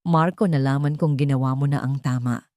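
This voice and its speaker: A woman's voice: very deep voice, steady tone, moderate pace, darkish tone, no echo, studio quality. A woman narrator voicing an approving, congratulatory aunt in a dramatic audio story.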